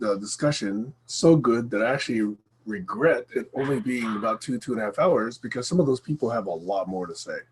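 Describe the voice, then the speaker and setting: A hoarse man hosting a casual group video call, speaking low and clearing his throat often. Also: a little hiss or hum, rough recording. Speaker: discussion group host. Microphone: computer microphone on a video call.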